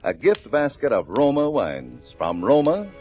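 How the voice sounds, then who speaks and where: A man's voice: medium pitch, huge pitch swings, unhurried, lo-fi sound. A man with an American accent announcing in polished, emphatic 1940s radio style, selling for the sponsor. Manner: polished, emphatic sales delivery. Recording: vintage 1940s radio broadcast with music.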